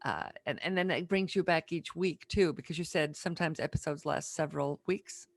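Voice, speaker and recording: Lowish-voiced woman hosting, dry sound. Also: brisk, gravelly, dry recording. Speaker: podcast host, interviewer. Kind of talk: casual interview chat.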